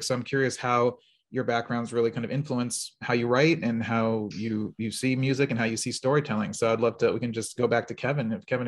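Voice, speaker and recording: A man moderates a panel of guests, talking quickly. He poses his questions eagerly and in an upbeat way, passing the turn down the line. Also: medium pitch.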